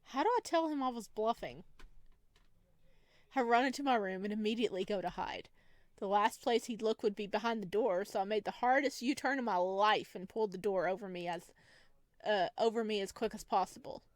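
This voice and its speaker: Female game narrator, mid-pitched and playful, reading story text expressively in a dry recording. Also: brisk, dry recording. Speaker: gamer reading a visual novel aloud.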